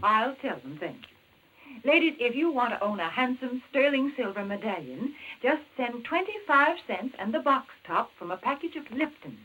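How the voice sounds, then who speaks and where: A woman's voice: on the high side, sing-song, normal speed, husky. A woman co-hosting and reading scripted commercials in 1940s radio style. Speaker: commercial announcer and co-host. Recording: muffled vintage radio with faint noise.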